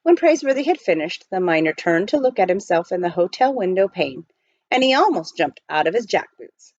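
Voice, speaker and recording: Woman reading a kids book out loud, very up and down voice, dry and slightly muffled recording. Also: fairly low pitch, sing-song, fairly quick, dry recording. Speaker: storybook reader. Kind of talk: expressive scripted narration of children's novel.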